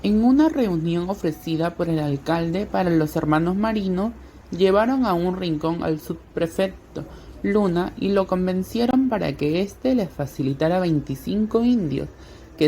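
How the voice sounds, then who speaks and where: A woman's voice: lowish voice, dark tone, low-quality recording. A woman reading scripted narration for a radio novel. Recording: faint ambient noise.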